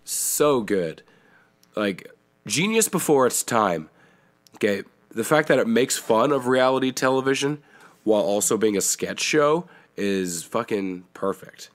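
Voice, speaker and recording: A man's voice: medium pitch, measured pace. A young Canadian man co-hosting a podcast, talking casually, swearing a lot and breaking into silly voices. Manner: animated, swears often, slips into silly accents. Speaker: podcast co-host. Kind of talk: casual chat.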